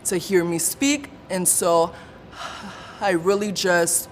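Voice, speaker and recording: Woman, lowish voice, unhurried testimony, stutters with blocks and repetitions; reverberant room, faint rumble. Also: expressive. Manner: stutters with repeated sounds, blocks and pauses. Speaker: support group member sharing her story. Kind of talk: personal testimony.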